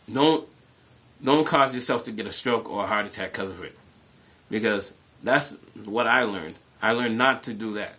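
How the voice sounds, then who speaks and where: A man casually telling a personal story to viewers, animated and acting out bits of dialogue, often repeating phrases for emphasis. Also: mid-range voice. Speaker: YouTube storyteller.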